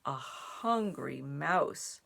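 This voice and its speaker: A woman reading a children's picture book aloud, phrasing it deliberately in short pieces with animated emphasis. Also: sits low, creaky. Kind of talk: expressive read-aloud for young children.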